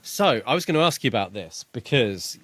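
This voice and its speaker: A man's voice: medium pitch, sing-song, a little fast, a bit bright, no room sound. A man co-hosting a podcast in a casual book discussion, thinking aloud in a tentative way. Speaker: podcast co-host.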